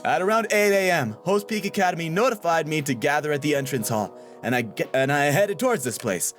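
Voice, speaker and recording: Guy doing a bossy schoolgirl leader, a bit higher than his own voice. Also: expressive, fairly quick, a bit bright, faint music behind. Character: bossy young female student leader.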